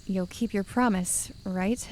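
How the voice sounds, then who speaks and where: A woman's voice: medium pitch, steady tone, slow pace, a little mellow, a little hiss or hum, lightly acted, poor audio. A woman playing a stubborn, proud young hiker in an audio drama. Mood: uneasy, subdued. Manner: stubborn, indignant. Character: Maggie, proud defiant young woman on a trail hike.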